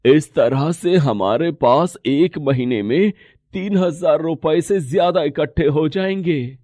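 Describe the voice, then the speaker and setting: A man's voice: fairly high pitch, slow pace, dark tone, no room sound, clean studio sound. A man voicing a poor, determined rickshaw-driver father in a moral story, speaking earnestly and reassuringly. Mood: earnest, resolute. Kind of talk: Hindi moral story dialogue.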